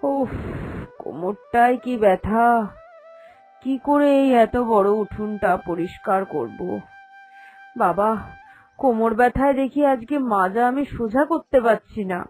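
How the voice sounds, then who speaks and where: A woman's voice: fairly high pitch, expressive, measured pace, pauses often, a little mellow, soft background music. A woman playing a grumbling, scheming daughter-in-law in a cartoon, with a whining, complaining delivery. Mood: aching, plaintive. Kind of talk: animated cartoon dialogue.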